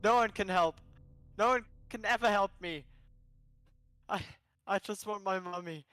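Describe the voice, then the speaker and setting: A man's voice: high-pitched, moderate pace, a bit bright, no room sound. A man voicing an insecure, melancholy young herbivore character in a visual novel, pleading and hesitant. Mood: anguished, self-pitying.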